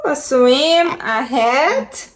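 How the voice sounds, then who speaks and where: A woman playing a slow, animated little storybook pig. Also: high voice, exaggerated voice. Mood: bright, curious. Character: Piggie, cheerful little pig, caring best friend.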